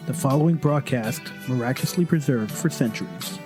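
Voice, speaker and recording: A man's voice: mid-range voice, fairly quick, low-quality recording. A man co-hosting a radio special with a scripted, theatrical presenting style. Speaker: radio co-host. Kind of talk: scripted dramatic radio introduction. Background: prominent music.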